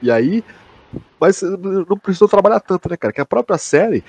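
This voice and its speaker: Male podcast host, Brazilian accent, slightly rough husky tone, excited and sweary casual chat. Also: high-pitched, a little fast. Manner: slangy, swearing, emphatic. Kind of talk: casual chat, enthusiastic review.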